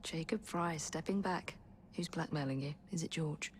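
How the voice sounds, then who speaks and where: Actress as young assassin, low-voiced, calm, wry. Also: female voice, moderate pace, a little hiss or hum, close to an everyday voice. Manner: composed, dry and quietly wry. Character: poised young woman assassin, calm and clever. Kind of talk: video game cutscene acting.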